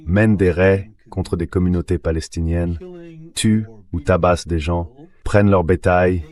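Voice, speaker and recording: Male, very low-pitched, synthetic dubbed voice, interview guest, analytical political commentary. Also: a little mellow.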